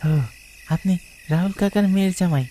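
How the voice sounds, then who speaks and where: A man's voice: sits high, steady tone, normal speed, mellow, slight background noise, natural voice. A man playing a mysterious, aloof bullock-cart driver in a cartoon ghost story, speaking in a cryptic, formal way. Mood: guarded, calm.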